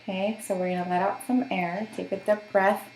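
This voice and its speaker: A woman's voice: mid-range voice, steady tone, fairly quick, darkish tone, roomy sound, a little hiss or hum, rough recording. A woman working as a voice therapist, giving patient step-by-step instructions for voice exercises and checking in often. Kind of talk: guided voice therapy session.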